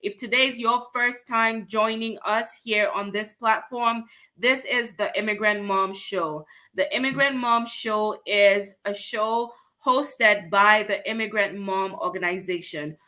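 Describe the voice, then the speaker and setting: A woman from Cameroon hosting a livestream, her voice bright and forward. She greets guests warmly by name and talks with upbeat enthusiasm, pitched in a medium range. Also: a little slow, few pauses. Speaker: host.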